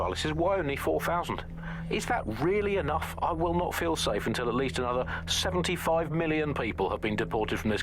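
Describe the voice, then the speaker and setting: A British man hosts a satirical news podcast at a middling pitch. He speaks in a mock-pompous, theatrical way with deliberate emphasis, over some background noise. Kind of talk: satirical news comedy banter.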